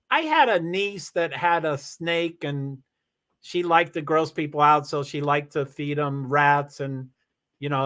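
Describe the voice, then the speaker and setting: A man with a somewhat high voice chats loosely to a live-stream audience. He rambles, restarts his sentences and throws in lots of exclamations. His energy stays animated throughout. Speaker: live streamer reading chat. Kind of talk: casual live-stream chat. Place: home in Washington State.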